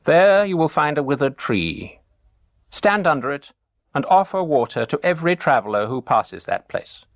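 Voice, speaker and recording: Man voicing a higher-pitched, emphatic wise dervish. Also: very animated, normal speed, dry recording, clean studio sound. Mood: instructing. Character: wise dervish giving firm instructions.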